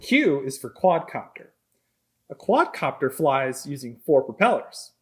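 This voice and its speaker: A man's voice: medium pitch, sing-song, a little slow, husky. A man in his thirties reading a children's book aloud in a workshop. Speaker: robot combat team member. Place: robot workshop, indoors.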